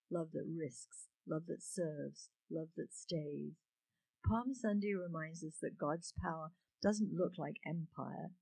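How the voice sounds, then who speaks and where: A man's voice: on the high side, gravelly, a little mellow, no echo, rough recording. A man reading a script plainly and unhurriedly, with a small slip now and then. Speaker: reader.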